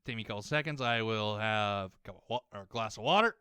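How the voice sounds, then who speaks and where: A young man ranting while playing a game, rambling, swearing a lot and often restarting his sentences, his voice slightly hoarse as it warms up. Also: lowish voice, fast, dry recording, studio quality. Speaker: gaming YouTuber.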